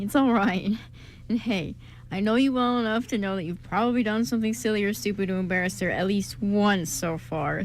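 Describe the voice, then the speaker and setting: A woman playing a steady, gently teasing best man in an amateur radio play, speaking in a casual, soothing way. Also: mid-range voice, lightly acted. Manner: casual, soothing, plain-spoken. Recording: clearly noisy amateur recording.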